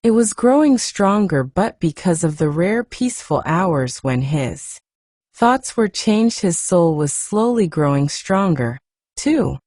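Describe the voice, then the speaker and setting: A woman narrates a story softly and without hurry, letting pauses linger. Her voice sits fairly low and is very mellow, yet it rises and falls with plenty of movement. The delivery is hushed and soothing, made for bedtime listening. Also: sing-song, no room sound, studio quality. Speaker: audiobook narrator.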